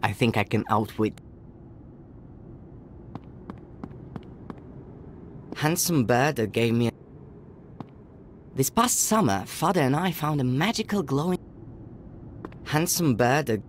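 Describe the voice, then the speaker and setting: An earnest boy hero of a storybook adventure game, speaks in measured, scripted observations. His voice sits at an ordinary male pitch, with faint ambient noise behind it. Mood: reflective. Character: young boy Lars, earnest, protective older brother. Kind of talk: scripted game character narration.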